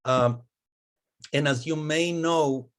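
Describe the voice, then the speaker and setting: A man's voice: fairly high pitch, slow pace, fairly bright tone. A man in his fifties from Costa Rica, an investment partner giving a friendly, fluent presentation with frequent fillers and restarts. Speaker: venture capital investor relations partner. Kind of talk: webinar presentation.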